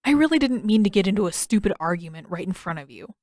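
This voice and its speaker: A woman's voice: mid-range voice, expressive, fast, a little mellow, no echo, clean studio sound, natural voice. A woman voicing an awkward, smitten teenage boy in a storybook reading, with casual, hesitant, blurting speech. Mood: awkward, apologetic.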